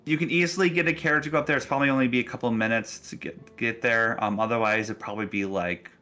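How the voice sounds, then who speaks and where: A man's voice: mid-range voice, fast talker, sharp tone, faint music behind. A man working as game master, narrating casually in an easygoing, encouraging way. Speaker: game master of a tabletop roleplaying game.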